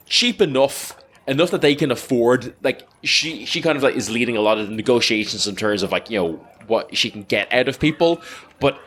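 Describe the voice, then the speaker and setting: A man improvises narration for a tabletop game in a casual style. His pitch is mid-range but moves around a lot, and his tone is bright and forceful. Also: a little hiss or hum. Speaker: role-play game player.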